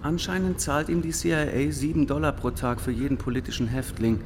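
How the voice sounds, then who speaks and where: An actor playing an informed foreign newspaper correspondent in a radio play, explaining things in a confiding way. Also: male voice, medium pitch, a little fast, close to an everyday voice. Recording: clear background noise and ambience.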